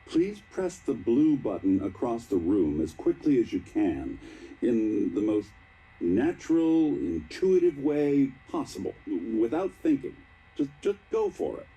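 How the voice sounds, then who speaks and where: A man voicing an upbeat, fussing scientist who runs tests in a video game, with an encouraging, halting, politely apologetic manner. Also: mid-range voice, lively intonation, mellow, slightly rough, soft background music, heavily acted, low-quality recording. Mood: halting, coaxing. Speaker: doctor, test administrator.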